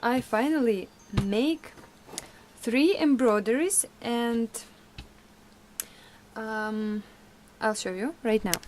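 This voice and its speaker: A young woman whose first language is Russian, chatting casually in a vlog, laughing often and pausing with fillers. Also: fairly high pitch, a little mellow. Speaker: vlogger.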